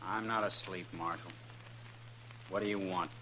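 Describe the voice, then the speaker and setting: An actor playing a bitter, defiant young jailed outlaw in a 1950s radio western, terse and sullen in delivery. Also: male voice, sits low, steady tone, unhurried, bright, forceful tone. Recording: noisy 1950s radio recording with hum.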